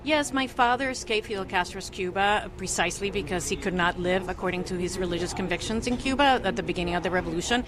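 A woman's voice: medium pitch, quick pace, hardly any pauses. A woman commissioner on religious freedom, speaking earnestly and fluently as a guest in a news interview.

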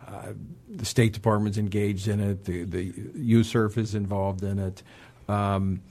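A man hosting a radio interview, talking in a halting way with many pauses and restarts. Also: on the low side, level tone, a little mellow. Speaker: radio host.

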